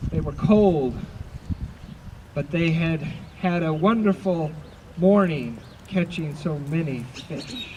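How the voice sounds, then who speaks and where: A man with a very high voice narrates a scripture dramatization outdoors. He takes it slowly and deliberately, projecting each phrase, with faint outdoor noise and birds behind him. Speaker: narrator of an Easter service dramatization. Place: outdoors by a lake. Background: birds and outdoor ambience.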